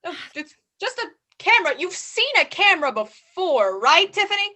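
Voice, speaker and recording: A woman's voice: extremely high pitch, sing-song, normal speed, sharp tone. Bubbly young woman doing an improv show. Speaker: improv performer and show president. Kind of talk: improv comedy scene.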